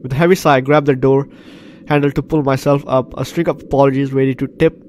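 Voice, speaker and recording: A man hosting a gameplay video, reading game text aloud and breaking in with expressive reactions. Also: medium pitch, fast, a little hiss or hum. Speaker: gaming video host.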